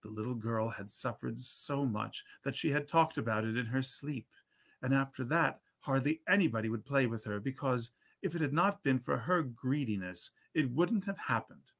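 A man in his fifties reads a storybook tale aloud with measured, theatrical phrasing. His voice has a gravelly creak and sits at an ordinary male pitch. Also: fairly bright tone. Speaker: reader at a literary reading series. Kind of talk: expressive storybook reading of a 19th century holiday tale. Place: indoors at a computer, live stream.

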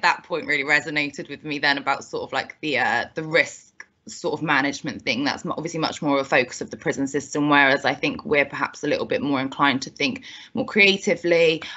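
A woman with a bright, tense tone reflects aloud on a panel, with lots of filler words. The call audio is dull and muffled. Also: deep voice. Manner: reflective, with many "sort of" and "like" fillers. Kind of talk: panel answer. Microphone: video call.

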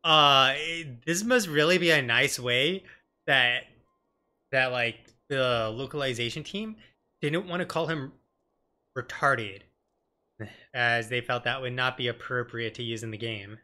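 A man streams a game with a mid-pitched, sharp, bright voice. His commentary is casual and joking, and it rambles along conversationally. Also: unhurried. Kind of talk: casual let's play commentary.

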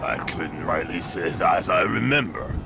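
An actor playing a gruff, hostile small-town constable in a video game, curt and menacing, with rustic phrasing. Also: male voice, low voice, animated, unhurried, noisy background, full character voice. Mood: curt, evasive.

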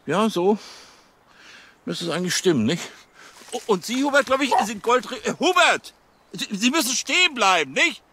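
A man's voice: high-pitched, very animated, moderate pace, pauses often, bright, forceful tone, breathy edge, full character voice. An actor playing a fussy, self-important policeman in a radio drama, stammering and tagging questions onto sentences. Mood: flustered, excited. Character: fussy, blustering male police officer, overeager and self-important.

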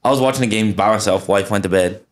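A man's voice: fairly low pitch, fast talker. Exasperated man telling a sports story. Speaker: podcast co-host. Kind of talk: casual sports banter.

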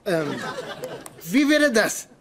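A man's voice: high-pitched, very animated, very slow, fairly bright tone, some chatter behind, heavily acted. An actor playing a fussy, eager man in a dubbed sitcom, chatty and full of instructions. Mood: eager, instructing. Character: fussy, eager man coaching his friend. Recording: noisy sitcom audio with background ambience.

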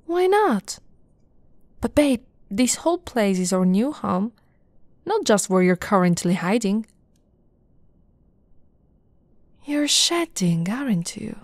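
A young woman playing an affectionate, teasing lamia girlfriend in an ASMR roleplay, soft-spoken and often whispering, with a breathy, coaxing delivery close to the mic. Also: mid-range voice, no room sound. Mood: curious, concerned. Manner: slow, breathy, coaxing delivery with pet names. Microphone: close, dry microphone.

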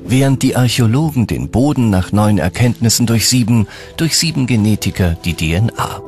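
A man's voice: lowish voice, animated, brisk. A man reading scripted documentary narration as the narrator. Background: background music.

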